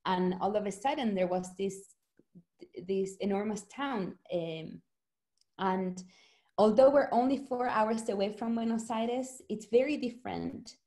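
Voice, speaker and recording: A woman's voice: mid-range voice, unhurried. Woman, Argentine accent, forties, reflective and earnest, video call from a small home room. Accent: Argentine, from Rosario. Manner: earnest, reflective, speeds up when excited. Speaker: author. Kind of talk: conversational interview.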